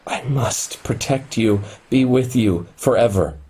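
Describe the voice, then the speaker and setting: An actor in a radio play voicing the devoted, insistent holographic copy of a dead programmer, pleading and earnest. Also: male voice, medium pitch, measured pace, mellow, a little hiss or hum, lightly acted. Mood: insistent denial.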